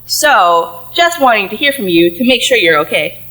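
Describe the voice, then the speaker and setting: A woman's voice: mid-range voice, lively intonation, normal speed, pushed and bright, poor audio. A woman playing a concerned mother leaving a telephone voicemail in an audio play.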